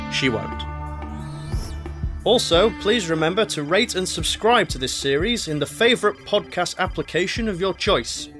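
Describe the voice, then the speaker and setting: A man's voice: fairly high pitch, sharp tone. Man announcing over prominent guitar music. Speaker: announcer reading closing credits. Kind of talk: scripted narration.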